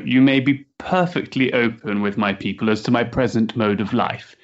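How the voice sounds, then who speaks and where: An actor playing a nervous, self-dramatising young Victorian gentleman with an effusive, theatrical manner in a period drama. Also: lo-fi sound. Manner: effusive, highly strung, theatrical. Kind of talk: period stage drama acting.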